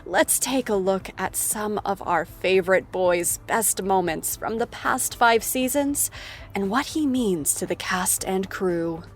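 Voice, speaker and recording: Female tribute-show host, actor-played: mock-solemn, theatrical, unhurried, scripted delivery. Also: mid-range voice, close to an everyday voice.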